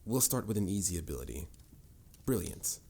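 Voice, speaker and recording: A man's voice: low-pitched, very animated, a little fast, vocal fry, warm tone, slight background noise, full character voice. A man playing a confident, patient mage mentor in a fantasy audio roleplay, instructive and slightly formal in a theatrical way. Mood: calm, instructive. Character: confident adult male mage mentor, patient, boastful, encouraging. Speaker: voice actor. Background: music.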